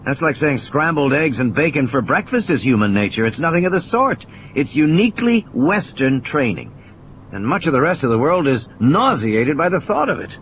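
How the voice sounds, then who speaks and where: A man's voice: fairly high pitch, big pitch swings, moderate pace, dull audio, a little hiss or hum. A man playing an injured, cynical and irritable scientist in an audio play, ranting emphatically in philosophical, insistent repetitions. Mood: bitter ranting.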